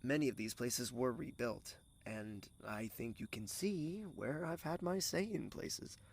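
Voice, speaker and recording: A man playing a courteous, regal young prince in love in an audio drama, gentle and formal, with the odd French phrase. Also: mid-range voice, expressive, unhurried, creaky, slightly rough, heavily acted. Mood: earnest, calm.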